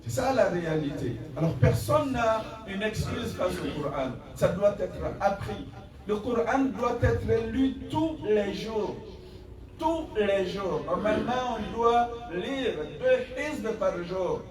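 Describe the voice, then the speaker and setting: A man's voice: sits high, expressive, slow pace, crowd noise. A man, an imam, preaching a Friday sermon with rhetorical questions, mixing in recited Arabic verses. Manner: exhorting, rhetorical questions, rising emphasis. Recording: echoey hall from far off.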